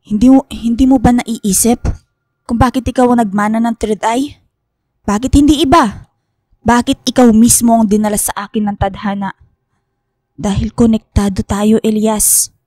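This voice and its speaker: A Filipino actress playing a secretive, stern woman professor who guides others among spirits, speaking gravely and deliberately in a radio horror drama. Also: on the high side, steady tone, a little mellow, dry recording, lightly acted. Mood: urgent, revealing.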